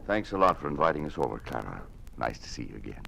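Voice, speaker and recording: An actor playing a weary, burdened government official in an old-time radio drama, with a grave, measured delivery. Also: male voice, extremely low pitch, sing-song, gravelly, background noise, heavily acted. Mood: polite. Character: weary, burdened official resisting a tyrannical regime.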